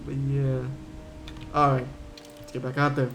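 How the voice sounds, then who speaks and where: A man's voice: medium pitch, completely flat delivery, unhurried, a bit bright. A man from Curaçao streaming a game, chatting casually and answering his chat in a rambling way. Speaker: gaming streamer.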